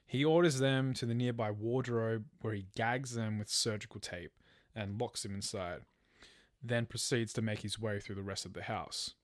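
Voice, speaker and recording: A man's voice: fairly low pitch, steady tone, normal speed, dry recording, clean studio sound. A man telling a true crime story as a podcast host, partly from a script. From Australia.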